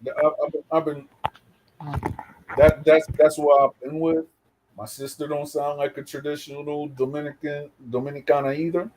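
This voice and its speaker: A man's voice: a little high, level tone, moderate pace, darkish tone, slight background noise, rough recording. Casual rambling man from the Bronx. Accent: New York. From New York. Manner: loose, rambling storytelling with many fillers. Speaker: host. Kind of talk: casual chat.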